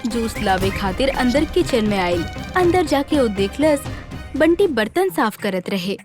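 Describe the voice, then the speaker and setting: A woman with a Bhojpuri accent giving scripted narration for an animated children's story. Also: a little high, unhurried, over music.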